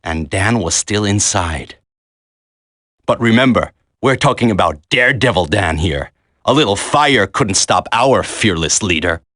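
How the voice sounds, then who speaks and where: A wry, weary soldier in a video game. His voice is somewhat low with a bright, forceful edge. He talks colloquially. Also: animated, unhurried, dry recording, studio quality. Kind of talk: dramatic video game voice acting.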